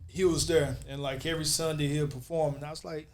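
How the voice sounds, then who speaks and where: A man's voice: on the high side, steady tone, brisk, darkish tone. An American man hosting a casual chat in an informal, slangy way. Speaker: host.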